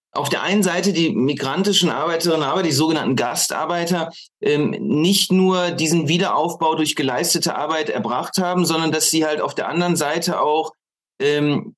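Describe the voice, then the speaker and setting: A man speaks as a guest in a political talk. He is deliberate and halting, often repeating a word as he shapes his argument. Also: fairly high pitch, a bit bright. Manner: halting, deliberate, repeats words while formulating. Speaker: interview guest, author. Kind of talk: political discussion.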